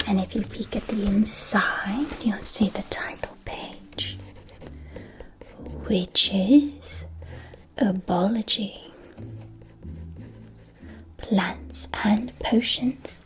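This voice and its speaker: Female ASMR performer, doting witch shopkeeper role, fully whispered, unhurried storybook delivery. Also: medium pitch, a bit bright. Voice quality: breathy, unvoiced whisper. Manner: slow, careful, storybook phrasing. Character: gentle, doting witch-school shopkeeper woman selling spellbooks.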